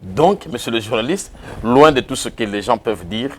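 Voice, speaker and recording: A man from Kongo Central with a Congolese accent, answering interview questions in a formal, solemn manner with filler pauses. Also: mid-range voice, lively intonation. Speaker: interview guest, association president.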